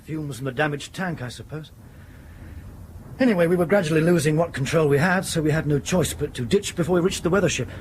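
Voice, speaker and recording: A man plays an airline captain in a radio drama. He is courteous but blunt, with a clipped, dry, exasperated delivery. His voice is slightly high, with faint noise behind it. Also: a little fast, lightly acted. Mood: weary, explanatory. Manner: clipped, polite, dryly sarcastic.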